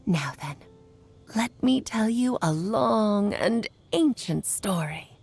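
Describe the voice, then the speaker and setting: Coy, lively witch. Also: medium pitch, slow, gravelly, husky, exaggerated voice. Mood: storytelling. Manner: theatrical, coy. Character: playful, grand elder witch, coy and motherly storyteller. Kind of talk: video game character acting. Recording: music playing behind.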